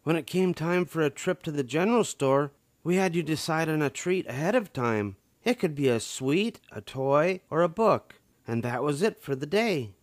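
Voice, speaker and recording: A kindly old grandmother bear , put on by a man speaks in a children's story. Her voice is raised and lively, practical in tone, with a dry recording. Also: fairly high pitch, normal speed, dry recording, pitched above the performer's own voice, close to an everyday voice. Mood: matter-of-fact.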